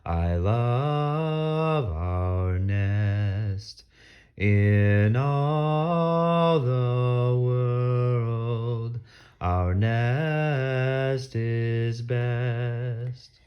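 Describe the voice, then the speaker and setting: A woman's voice: a bass voice, extremely slow, pitched below the performer's own voice, exaggerated voice. A woman voicing a contented, easygoing male bird from a storybook in a simple manner, slightly muffled. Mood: happy singing. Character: happy, easygoing male bird, a devoted husband. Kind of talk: storybook character voice.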